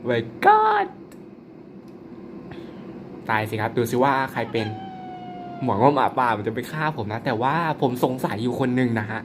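Man, gamer, lively swinging pitch, playful, teasing, polite, noisy background. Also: a little slow, creaky, long pauses. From Thailand. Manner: exclaims and laughs often. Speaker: mobile game player and channel host. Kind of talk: casual gameplay commentary.

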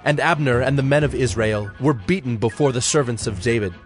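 A man's voice: medium pitch, brisk. Solemn male scripture narrator over faint music. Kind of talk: scripted scripture narration, dramatized audio Bible.

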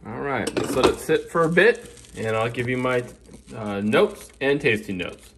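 A man talks slowly and loosely, restarting his sentences as he works. His pitch sits in a plain middle range. He is recorded on a phone camera in a home kitchen. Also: animated, background noise. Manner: rambling, restarts often, talks while working. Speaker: coffee reviewer. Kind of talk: casual home coffee review.

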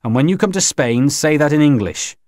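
A man's voice: on the high side, animated, a little fast, a little mellow, no echo, clean studio sound, natural voice. A man playing a determined young boy who speaks in terse, stern commands in a story reading. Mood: stern insistence.

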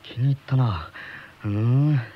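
A man's voice: mid-range voice, unhurried, natural voice. An actor playing a pensive man in his thirties with a secret obsession, in a radio drama. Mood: admiring. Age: 30s. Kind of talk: radio drama acting with first-person narration. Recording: dull lo-fi old radio recording, music behind.

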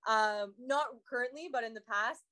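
A woman's voice: fairly high pitch, normal speed, sharp tone. Chatty young Canadian woman. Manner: upbeat, chatty, many likes and fillers. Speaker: fitness competitor guest. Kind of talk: casual interview chat. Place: home room indoors.

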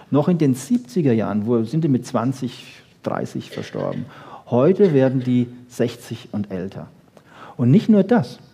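A man speaks as a lecturer explaining science to an audience without reading from a script. His voice is medium in pitch, dark and a bit husky. He makes his points emphatically, often tagging them with a short questioning check and putting rhetorical questions to his listeners. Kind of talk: popular science lecture to an audience, freely spoken.

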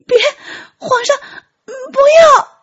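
A woman's voice: very high voice, slow, lo-fi sound, pitched above the performer's own voice. A woman narrator voicing a frightened, flustered young palace servant, pleading and stammering, in an audiobook. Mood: panicked pleading.

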